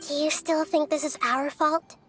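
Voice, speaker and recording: A woman voicing a small, gentle and wise girl goddess in a video game, speaking softly and thoughtfully. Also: sits high, fairly even intonation, over music, lightly acted. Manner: soft, thoughtful, measured.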